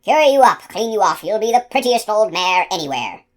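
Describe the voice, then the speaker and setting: A heavy, out-of-breath farmer , played by a woman reading the tale, coaxes in a loud, raised voice. He pants between his words, eager and clumsy. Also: on the low side, expressive, normal speed. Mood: coaxing. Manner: panting, coaxing, loud. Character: fat breathless farmer man, eager and coaxing.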